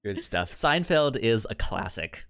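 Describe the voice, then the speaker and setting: Male podcast co-host, casual and animated, noticeable stutter, very lively intonation, dry sound. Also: on the low side, unhurried, creaky, no room sound. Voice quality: stutters, repeating sounds and words. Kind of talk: casual chat about sitcoms.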